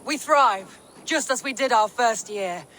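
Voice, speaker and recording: A loyal, resolute Viking jarl. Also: female voice, on the high side, expressive, measured pace, a bit bright, slight background noise. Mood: proud. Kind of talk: dramatic video game acting.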